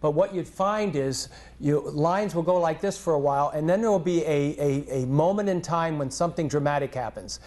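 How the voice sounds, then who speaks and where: A man presenting at a conference, answering audience questions in a measured way, thinking aloud with restarts. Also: fairly high pitch, a little mellow, echoey room. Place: conference hall.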